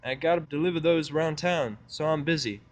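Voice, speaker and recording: Man acting as female farm pony, raised pitch, folksy country talk, friendly, sly, fan audio drama. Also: brisk, natural voice. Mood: coaxing.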